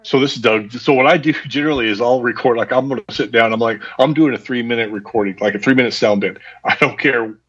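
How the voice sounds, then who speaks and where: Husky, self-deprecating man on lo-fi audio. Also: mid-range voice, fast talker, few pauses, a bit bright. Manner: self-deprecating, apologetic, chuckles while talking. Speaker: audio drama performer. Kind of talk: casual group chat. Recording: muffled lo-fi, radio-like audio.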